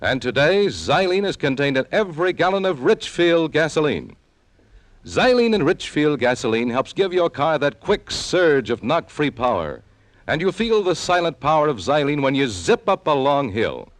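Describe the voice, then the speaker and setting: Old-time american ad man, highish voice, very animated, dry studio sound. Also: moderate pace, no echo. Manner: polished, emphatic sales delivery. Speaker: radio commercial announcer. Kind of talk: vintage radio advertising read.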